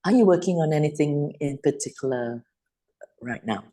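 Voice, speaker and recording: Low, lively-voiced woman interviewer. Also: moderate pace. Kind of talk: interview questions.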